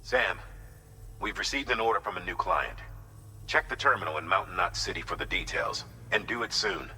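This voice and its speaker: An actor playing a stern commander who hands out terse orders in a game cutscene. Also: male voice, low voice, normal speed, bright, forceful tone, faint music behind. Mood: commanding. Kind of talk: video game cutscene acting. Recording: long reverb.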